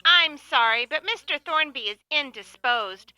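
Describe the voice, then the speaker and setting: A woman's voice: high voice, lively intonation, measured pace, sharp tone, dry recording, exaggerated voice, poor audio. A woman playing a prim, snippy office secretary who answers the phone with a formal manner, in an amateur radio play. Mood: polite.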